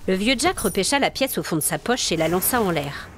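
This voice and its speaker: A woman's voice: mid-range voice, expressive, brisk, a little hiss or hum. A woman storyteller reading scripted narration for a dramatic audio tale.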